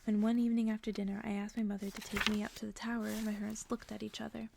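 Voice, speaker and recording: A woman reading a bedtime story as ASMR in a soft, breathy whisper, hushed and gentle. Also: medium pitch, level tone, quick pace, noisy background. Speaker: ASMR creator reading stories aloud. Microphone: close-up microphone.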